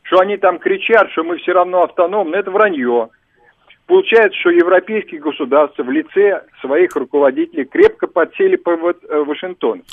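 A man's voice: sits high, expressive, a little fast, dry recording. A man calling in to a radio show, making his argument in an emphatic, lecturing way. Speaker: caller. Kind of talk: phone-in commentary. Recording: dry, dull phone line.

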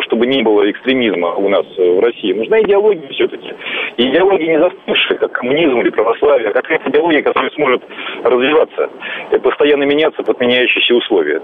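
A man calling in to a radio show, giving his opinion in a brisk, matter-of-fact way. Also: mid-range voice. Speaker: radio caller. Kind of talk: phone-in opinion. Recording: tinny phone line.

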